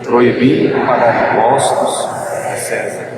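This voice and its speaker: A man reading the part of an insistent accuser in a dramatized scripture reading, with deliberate, declamatory delivery. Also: medium pitch, close to an everyday voice. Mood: accusing. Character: accusing, insistent crowd of priests and people. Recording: echoey room, loud background noise.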